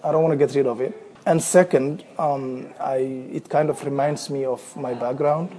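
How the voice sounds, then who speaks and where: A man's voice: mid-range voice, fairly even intonation, normal speed, warm tone, a little hiss or hum. A man in his thirties from Somalia with a Somali accent, reading a short passage aloud and then talking freely, often hesitating and repeating words. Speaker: accent archive speaker.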